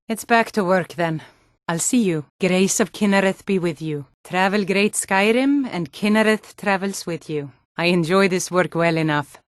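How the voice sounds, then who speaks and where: Actress as a low-voiced, hardy fantasy townswoman. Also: no room sound, studio quality, close to an everyday voice. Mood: plain, businesslike, then solemn, kindly. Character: hardy, no-nonsense Nord townswoman and guard of a fantasy world. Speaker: video game voice actor. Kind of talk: scripted fantasy game dialogue.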